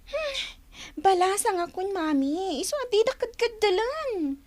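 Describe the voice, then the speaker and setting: Whiny child, very squeaky pitch, animated, defiant, Ilocano accent, radio drama. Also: female voice, measured pace, slight background noise, heavily acted. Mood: whiny, protesting.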